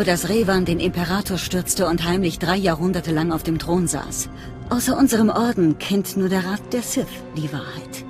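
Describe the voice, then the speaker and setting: An actress playing a secretive, fanatical cult leader in a game, speaking in a measured, earnest, conspiratorial way. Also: female voice, lowish voice, lightly acted. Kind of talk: dramatic game voice acting.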